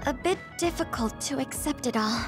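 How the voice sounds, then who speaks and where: A high-voiced, sincere young heroine. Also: female voice, animated, normal speed. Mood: thoughtful. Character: sincere, hopeful young female squad mate. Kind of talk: video game cutscene acting. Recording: music clearly behind the voice.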